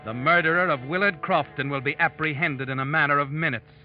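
A man plays an ominous narrator of a vintage radio play, taunting the listener as the guilty one. His fairly high voice is deliberate, over clear music on a dull recording. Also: natural voice. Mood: taunting, foreboding. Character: ominous, knowing, taunting male storyteller addressing the murderer. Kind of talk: dramatic second-person narration, old-time radio. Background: dramatic music.

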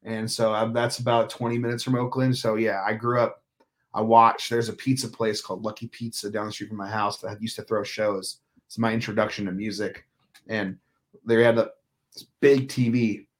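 A man's voice: lowish voice, little pitch movement, moderate pace. Rambling, profane man. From Northern California. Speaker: podcast guest, musician. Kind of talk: casual chat.

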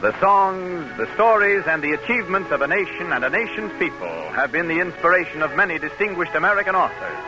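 A man's voice: high-pitched, sing-song, a little fast, hardly any pauses, low-quality recording. A man working as a radio announcer, with a formal, polished 1940s delivery. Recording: old radio broadcast with music.

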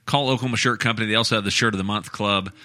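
A man's voice: fairly low pitch, steady tone, racing, sharp tone, soft background music. A man doing an upbeat, casual sponsor read on a podcast. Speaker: podcast cohost reading an ad.

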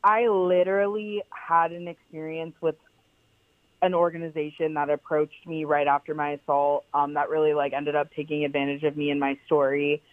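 A woman's voice: deep voice, level tone, moderate pace, no echo. A young woman with a powerful voice, chatting casually as a podcast guest with lots of "like" fillers. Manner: chatty, many "like" fillers, emphatic. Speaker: guest, founder of a clothing brand. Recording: tinny phone-like line, dry.

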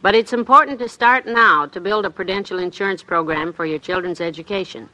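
A woman with a bright voice at middling pitch reads a radio advertisement. She sounds scripted but conversational, explaining as a spokeswoman. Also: fairly quick, no echo, low-quality recording. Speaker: sponsor spokeswoman.

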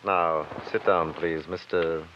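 An actor playing a brisk, superior male attorney with a courteous, controlled manner, in an old radio crime drama. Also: sits low, steady tone. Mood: formal. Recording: muffled old radio recording, faint noise.

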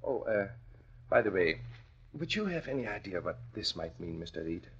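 A man's voice: on the low side, sing-song, normal speed, slightly rough, exaggerated voice. A man acting as an earnest, formal immigrant doctor, grave in manner, in a 1940s radio drama. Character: earnest immigrant doctor, survivor of Nazi prison camp. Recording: dull vintage radio recording.

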